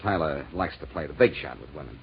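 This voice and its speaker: An actor playing a determined, worried amateur sleuth in a 1940s radio detective drama. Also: male voice, very deep voice, expressive, brisk, creaky, a little hiss or hum, exaggerated voice. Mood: worried, earnest. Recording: muffled vintage radio recording.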